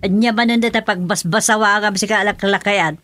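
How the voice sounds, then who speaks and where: A woman's voice: mid-range voice, steady tone, brisk, fairly bright tone, no room sound, clean studio sound, natural voice. A woman acting a scolding, practical village wife in a radio comedy drama, emphatic and colloquial, with an Ilocano accent. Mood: exasperated.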